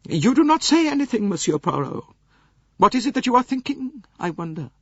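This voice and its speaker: An imperious elderly princess from an audiobook novel, high and husky. She draws out her words with bitter, haughty emphasis and swoops dramatically. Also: very animated, a little fast, no room sound, muffled recording, exaggerated voice. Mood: proud, probing.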